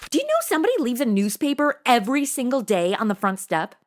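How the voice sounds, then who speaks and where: A woman's voice: a little high, sing-song, fast, noisy background. A woman playing a chatty, cheeky, jittery modern young woman in a comedy audio drama, slangy and over-caffeinated. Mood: casual, breezy.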